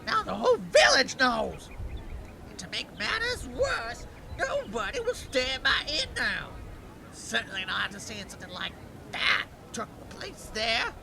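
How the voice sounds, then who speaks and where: An indignant innkeeper acted by a woman in an audio drama, scolding in a sharp, high voice. The delivery swings up and down, with drawn-out words and fretful outrage. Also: huge pitch swings, creaky, a faint crowd, a little hiss or hum, full character voice. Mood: angry, exasperated. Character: furious, scolding innkeeper fretting over lost business. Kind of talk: fan audio drama acting.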